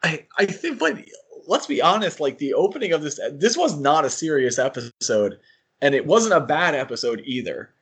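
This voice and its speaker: A man's voice: fairly high pitch, very animated, moderate pace, fairly bright tone, breathy edge. A man co-hosting a podcast, thinking aloud in a casual fan discussion and often qualifying what he says. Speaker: podcast co-host.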